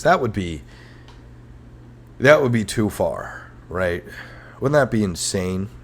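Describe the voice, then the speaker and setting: A man's voice: low voice, vocal fry, a little hiss or hum. A man hosting a comedic history podcast in a rambling, sarcastic, self-mocking monologue. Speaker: podcast host.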